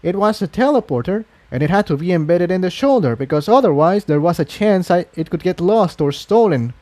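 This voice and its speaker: Man doing a high-pitched, prickly young mare. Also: animated, brisk, dark tone. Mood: explaining. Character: proud, prickly young female unicorn refugee.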